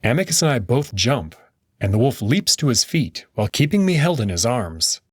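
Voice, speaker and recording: A man's voice: mid-range voice, sing-song, normal speed, breathy edge. A man giving dramatic scripted narration as he reads a visual novel story aloud.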